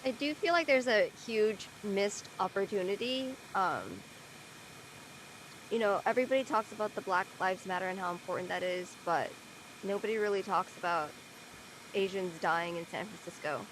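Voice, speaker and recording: A woman from the United States with a medium-pitched voice shares opinions in a casual group talk. Light room noise sits behind her. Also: moderate pace, poor audio. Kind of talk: casual panel discussion. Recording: background noise and room ambience.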